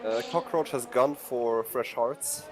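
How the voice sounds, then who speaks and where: A man's voice: mid-range voice, music in the background. A man from northern Bavaria with a German accent, commentating casually on a sim race with hesitant fillers. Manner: hesitant fillers, quick to laugh.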